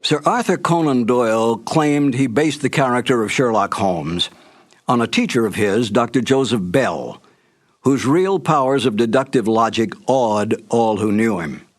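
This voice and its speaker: A man delivers scripted narration in a medium-pitched voice. His delivery is formal and measured, as a narrator introducing a program. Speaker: narrator introducing a radio drama.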